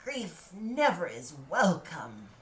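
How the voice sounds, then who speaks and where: A man's voice: sits high, huge pitch swings, a bit bright, voice pushed up, full character voice. A man voicing Grief, a relentless, witch-like old woman with a blunt, insistent way of speaking, in an audio play. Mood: taunting, blunt. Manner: blunt, drawn-out, insistent delivery. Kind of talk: audio play character acting. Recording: echoey, with noise behind.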